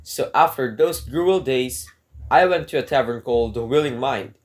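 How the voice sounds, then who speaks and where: Guy playing a desperate burglar in jail, radio drama, earnest and theatrical, kinda old-fashioned wording, medium pitch. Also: normal speed, lightly acted. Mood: solemn, storytelling. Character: desperate jailed male burglar pleading his innocence. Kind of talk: dramatic radio play monologue and narration.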